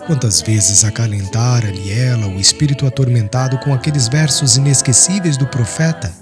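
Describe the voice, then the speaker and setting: A Brazilian man narrates an audiobook in a solemn literary style. His voice sits at a medium pitch, with faint music underneath. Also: normal speed. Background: soft music.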